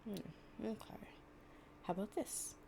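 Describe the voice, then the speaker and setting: A woman's voice: mid-range voice, takes it slowly, creaky, noisy background. A woman performing a storytime audio roleplay, reading fables and chatting informally and playfully. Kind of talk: gentle storytime reading with improvised casual chat.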